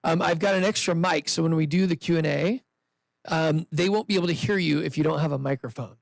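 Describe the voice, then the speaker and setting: A man's voice: fairly high pitch, a little fast, vocal fry, dry recording. Upbeat man hosting a live event. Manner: chatty, upbeat, loose with restarts. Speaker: event host and investor. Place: indoor event room with seated audience. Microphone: handheld microphone.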